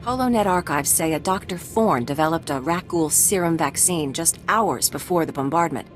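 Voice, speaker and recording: An actress playing a dedicated, earnest and wry scientist in a video game, precise and formal, with music behind. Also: female voice, low-pitched, moderate pace, lightly acted. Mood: formal, informative.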